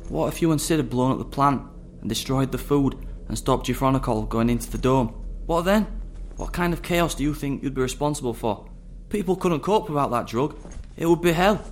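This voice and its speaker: An actor playing a scornful, domineering antagonist in a dystopian audio drama, confrontational and condescending. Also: male voice, mid-range voice, fairly quick, hardly any pauses, a little hiss or hum, lightly acted.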